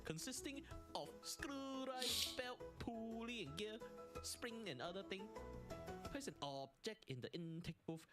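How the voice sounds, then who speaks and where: Man playing a jokey uncle narrator, wry and casual, lively pitch, music bed behind. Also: a little slow. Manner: offhand, wry explainer. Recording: played clip with music underneath.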